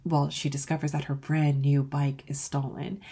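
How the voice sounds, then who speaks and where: A woman's voice: low voice, flat delivery, normal speed, warm tone, husky. A woman author reading a children's story aloud expressively and speaking directly to young listeners. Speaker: author reading their own book.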